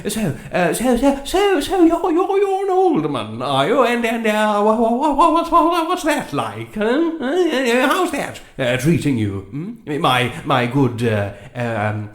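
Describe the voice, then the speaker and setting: A man's voice: high-pitched, big pitch swings, unhurried, hardly any pauses, echoey room. A man playing a timid, bumbling, apologetic king in a comedy audio drama, stammering and flustered as he rambles. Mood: nervous, giggly.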